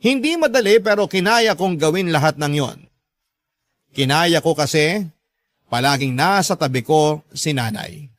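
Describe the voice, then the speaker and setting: High-pitched earnest Filipino storyteller. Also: male voice, lively intonation, a little slow, no room sound, clean studio sound. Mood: heartfelt, grateful. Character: devoted grown child narrating a heartfelt life story. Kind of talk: scripted first-person narration in radio drama.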